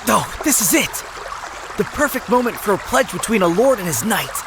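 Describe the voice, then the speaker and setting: A man's voice: high-pitched, big pitch swings, normal speed, background noise. An actor playing a chatty, idealistic young nobleman in a video game, breezy and theatrical in manner. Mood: excited, grand.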